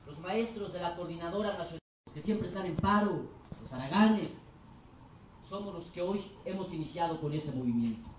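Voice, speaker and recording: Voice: medium pitch, normal speed, slight background noise. Impassioned teacher, Mexican accent, echoey hall. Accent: Mexican Spanish. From Chiapas, Mexico. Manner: declamatory, building rhetorical lists. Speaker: teacher and union delegate. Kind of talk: impassioned forum speech. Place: large echoey lecture hall. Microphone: distant from the recording mic. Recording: echoey hall recorded from far off.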